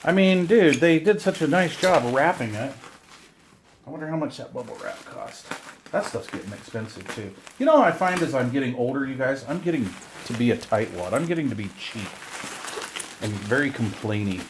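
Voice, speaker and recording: A man's voice: medium pitch, lively intonation, moderate pace, background noise. Casual middle-aged man, rambling and chatty. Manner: rambling, chatty, self-deprecating grumbling. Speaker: video host unboxing radio gear. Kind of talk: casual unboxing chat to camera.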